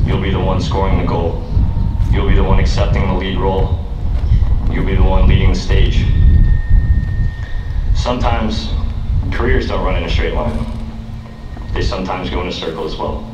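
A man's voice: low-pitched, very noisy. A young man speaking at a podium on an outdoor lawn, delivering an earnest commencement speech that rises to emphatic declaiming. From United States. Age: young adult. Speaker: student speaker. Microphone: podium microphone, recorded by a distant camera. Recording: roomy, distant, dull outdoor PA sound.

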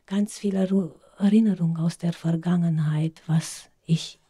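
A woman's voice: lowish voice, fairly even intonation, a little slow, warm tone, breathy edge. A middle-aged woman with a Romanian accent, a native Romanian speaker, hesitantly asking a question from the audience, searching for her words. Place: outdoors among a seated audience.

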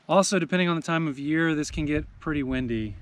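A man in his forties talking casually on a beach, recommending a camping spot in a relaxed, enthusiastic way. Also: a little high, quick pace. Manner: relaxed, conversational, enthusiastic about scenery. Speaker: travel vlogger. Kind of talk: casual travel vlog chat. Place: outdoors on a beach beside a truck camper. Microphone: camera on a tripod, seated. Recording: outdoor recording with audible background noise.

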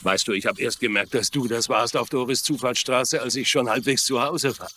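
Ein Schauspieler spielt in einem synchronisierten TV-Drama einen wehmütigen, verlässlichen Lehrer mittleren Alters, der schlicht und nachdenklich spricht. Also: male voice, mid-range voice, fairly quick, bright, forceful tone, slight background noise, natural voice. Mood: concerned. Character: wistful, dependable middle-aged schoolteacher. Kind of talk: dubbed TV drama dialogue in German.

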